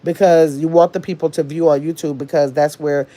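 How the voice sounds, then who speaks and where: A woman's voice: sits low, fairly even intonation, brisk, dark tone, a little hiss or hum. A woman, hosting a casual livestream and chatting, teasing and reading comments. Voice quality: nasal. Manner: chatty, teasing, scolds trolls. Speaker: livestream host.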